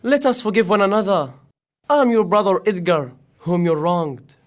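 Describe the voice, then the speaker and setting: A woman's voice: mid-range voice, normal speed, voice pushed down, natural voice. A woman voicing a noble, righteous young male knight who declaims solemnly.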